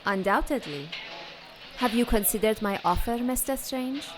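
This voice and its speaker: An actress playing a poised, worldly woman with measured, formal speech in a 1940s noir radio drama. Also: fairly high pitch, over music, natural voice. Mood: cool, amused. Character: poised, worldly 1940s female emissary of a scientist.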